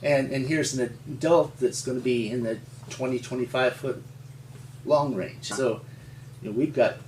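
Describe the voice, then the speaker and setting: An older man from rural Montana with an American accent, telling his story in an interview in a halting, folksy, explanatory way. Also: mid-range voice, darkish tone. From rural Montana, United States. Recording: roomy, distant mic with faint hum.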